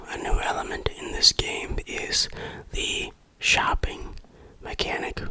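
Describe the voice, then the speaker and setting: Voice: sharp tone, poor audio. A person whispering a casual game review in ASMR style.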